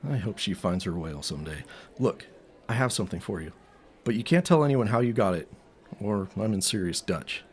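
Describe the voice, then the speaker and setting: An actor playing an earnest teenage boy sleuth in a period audio drama, secretive and boyish, with a husky voice. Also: on the low side, animated, a little fast, a little hiss or hum, natural voice.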